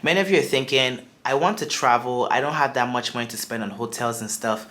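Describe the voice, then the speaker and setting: A man's voice: mid-range voice, fairly quick, bright, forceful tone. Upbeat young man with a Nigerian accent. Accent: Nigerian, shifting toward American. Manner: chatty and upbeat, with asides and restarts. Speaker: travel vlogger. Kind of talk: casual advice to camera.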